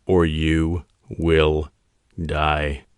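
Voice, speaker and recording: A man voicing a stern, commanding navy admiral who speaks in slow, icy, clipped orders. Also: low voice, flat delivery, a bit bright, clean tone, no room sound, clean studio sound. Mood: threatening. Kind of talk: audiobook character voice.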